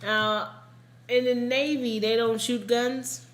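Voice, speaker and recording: A woman's voice: fairly high pitch, steady tone, takes it slowly. A woman hosting a true crime podcast, telling a story in a casual, informal, emphatic way and repeating points for emphasis. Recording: echoey room, casual podcast recording.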